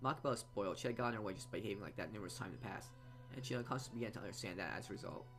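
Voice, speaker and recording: A man's voice: fairly low pitch, racing, fairly bright tone. A man reading visual novel narration aloud as a let's play host, stumbling and repeating words as he goes. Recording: game music behind the voice. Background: game music.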